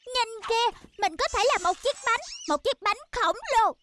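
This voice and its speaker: An actor voicing a small, cheerful young fox chef in a children's cartoon dub, eager and excitable. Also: extremely high pitch, moderate pace, slight background noise. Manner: excitable, exclamatory.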